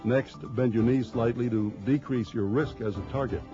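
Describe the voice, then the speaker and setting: A man reading scripted instructional narration for a training film in a measured, formal, explanatory way. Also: mid-range voice, dark tone, soft background music. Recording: dull lo-fi early 1990s film soundtrack.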